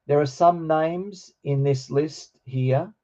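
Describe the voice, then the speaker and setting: A middle-aged man with a slightly croaky voice, teaching an informal online lesson in a hesitant, explanatory way. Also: a little high, slow, mellow. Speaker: teacher of New Testament Greek. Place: online video call with screen share. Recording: dull, lo-fi online call.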